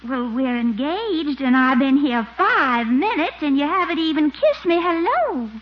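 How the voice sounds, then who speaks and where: A coy, flirtatious belle in a 1940s radio comedy. Her voice is high and sweet-talking, with a drawl. The aged recording is dull and clearly noisy. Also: big pitch swings, a little slow. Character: coquettish, sweet-talking Southern belle fiancée. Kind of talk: 1940s radio sitcom acting.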